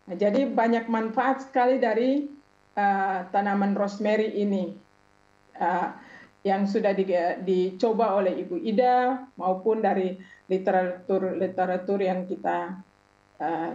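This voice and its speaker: A woman speaking as an expert guest on a talk show, explaining at a measured pace with many hesitations. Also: medium pitch, fairly even intonation, mellow. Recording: echoey, dull lo-fi sound.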